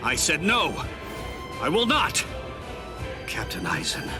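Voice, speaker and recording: A man voicing a defiant, hot-blooded young warrior in an anime game, emotional and halting in delivery. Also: fairly high pitch, very animated. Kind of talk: English voice acting for anime game cutscene. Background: loud music.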